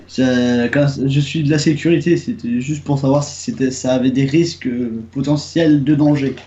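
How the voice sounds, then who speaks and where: Man playing a mellow, hesitant civilian robot owner. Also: medium pitch, fairly even intonation, normal speed, lo-fi sound, slight background noise, close to an everyday voice. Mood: cautious, inquisitive.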